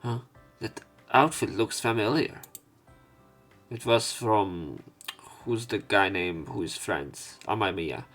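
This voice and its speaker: A man narrating a visual novel let's play, reading the text aloud in a measured way with some stumbles. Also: fairly low pitch, lively intonation, a bit bright, faint music behind. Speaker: let's player.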